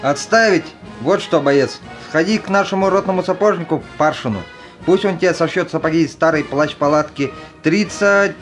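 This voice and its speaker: Man playing a high-voiced stern young army commander. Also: normal speed, lightly acted. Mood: scolding. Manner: barking, commanding. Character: stern young male army commander barking orders at recruits. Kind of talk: audio play acting. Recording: music under the voice.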